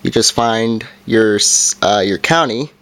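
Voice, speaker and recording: A man giving a casual hands-on product review, talking in a halting way and correcting himself as he goes. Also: fairly low pitch, fairly bright tone.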